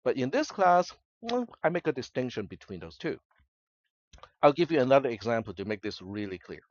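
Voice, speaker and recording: A man's voice: mid-range voice, sing-song, fairly quick, a little mellow, no echo, muffled recording. Male instructor with Cantonese as first language. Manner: conversational, checks in with students often. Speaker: college instructor. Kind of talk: classroom lecture. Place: classroom.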